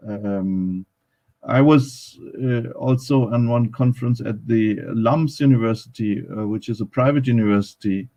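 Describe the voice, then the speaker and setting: Halting, low-voiced man. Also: mellow. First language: German. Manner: halting, pauses often to search for words. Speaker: guest traveler and former university teacher. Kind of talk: conversational account in a live online event. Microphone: video call.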